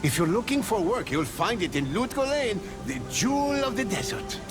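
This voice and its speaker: High lively male voice, eager smooth-talking recruiter, game sales patter, music behind. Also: normal speed. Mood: persuasive, brisk.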